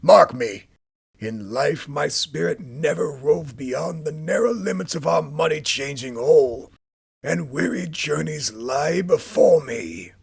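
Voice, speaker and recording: A man voicing a doomed old male ghost, speaking in a slow, drawn-out, mournful way in a storybook reading. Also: fairly high pitch, expressive, vocal fry, breathy edge, no echo, voice pushed up, heavily acted. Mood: solemn. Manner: slow, wailing, drawn-out delivery.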